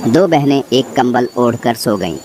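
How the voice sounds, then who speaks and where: A man's voice: mid-range voice, animated, unhurried, darkish tone, a little hiss or hum. A man reading a scripted horror story as narrator.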